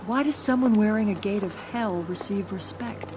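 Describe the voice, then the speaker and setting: A woman's voice: medium pitch, normal speed, noisy background, natural voice. An actress playing an earnest female techno-anthropologist in a radio play, polite and inquisitive. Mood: curious, puzzled.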